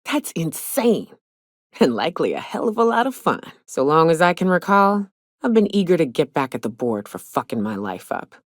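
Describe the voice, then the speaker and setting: An actress playing a hard-drinking, trigger-happy but loyal frontier mercenary in a video game, with folksy, salty, sardonic phrasing. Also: female voice, deep voice, very animated, moderate pace, no room sound, studio quality. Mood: sardonic, eager. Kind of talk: video game character dialogue.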